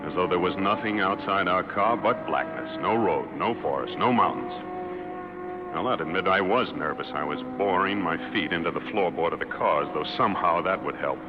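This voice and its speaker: An actor playing a soft, well-off, uneasy man who narrates and speaks in a 1940s radio suspense drama. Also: sits low, animated, moderate pace. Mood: brooding, uneasy. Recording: muffled vintage 1940s radio, music behind.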